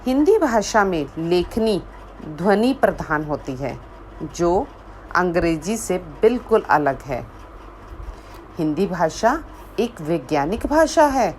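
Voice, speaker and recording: Woman, poet, slow recitation, repeated lines, faint background hum. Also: on the low side, sing-song, a little mellow, poor audio. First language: Hindi. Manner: measured, repeats lines for emphasis.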